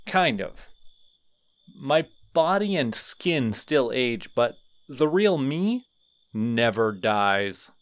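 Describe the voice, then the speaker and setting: A man's voice: mid-range voice, very animated, very slow, dry recording. A man voicing a lonely, friendly skeleton who is centuries old, in an audio roleplay. He talks in a rambling, earnest, slightly awkward way. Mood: wistful.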